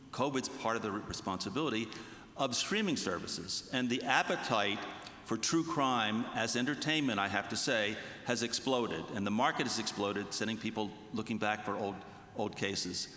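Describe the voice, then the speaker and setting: A man's voice: mid-range voice, expressive, normal speed, sharp tone. Reflective man panelist in a very echoey hall. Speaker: true crime writer on a panel. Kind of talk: considered panel commentary. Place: large reverberant festival venue. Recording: echoey hall with long reverb.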